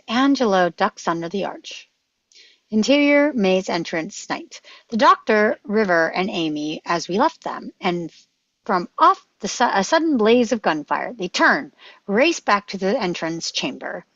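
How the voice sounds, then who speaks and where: A woman's voice: mid-range voice, very animated, unhurried, fairly bright tone, dry recording. A woman reading stage directions as scripted narration at a table read, on a slightly dull microphone.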